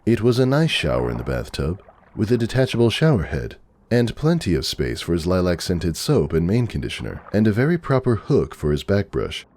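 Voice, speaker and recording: A man's voice: low-pitched, very animated, brisk, darkish tone. A man narrating a story from a script as a dramatic reading. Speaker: narrator, fan fiction reader.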